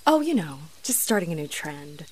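A woman's voice: sits low, big pitch swings, moderate pace, a little hiss or hum, poor audio. A woman playing an aggravated, haughty, flirtatious female vampire in a scripted audio roleplay, with mocking, theatrical delivery. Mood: exasperated, sarcastic. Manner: mocking, sardonic, theatrical taunting.

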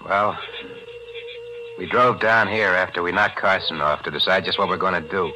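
An actor playing a greedy, streetwise ex-con killer in a 1940s radio drama, with a tough, gruff manner. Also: male voice, low voice, sharp tone, a little hiss or hum, rough recording.

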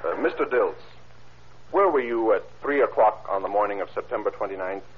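An actor playing a formal, methodical courtroom prosecutor in 1930s radio style, questioning deliberately and crisply. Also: male voice, lowish voice, expressive, lightly acted. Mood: formal, probing. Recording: muffled old radio recording.

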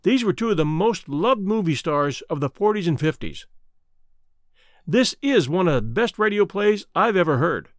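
A man's voice: fairly high pitch, no room sound, studio quality. A man introducing an episode as a podcast host.

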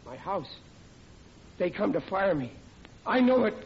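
Flustered family man, high-pitched, stammering, blustering, self-pitying, old radio sitcom, dull noisy sound. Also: big pitch swings, unhurried, breathy edge, exaggerated voice. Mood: panicked. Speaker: radio comedy actor. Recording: muffled, noisy vintage radio with audience.

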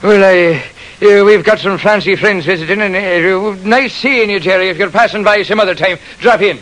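A man playing an excitable, honest garbage collector in an old-time radio drama. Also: high voice, normal speed, slight background noise, pitched above the performer's own voice. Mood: evasive. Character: excitable honest Irish-American garbage collector turned millionaire. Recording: vintage radio recording.

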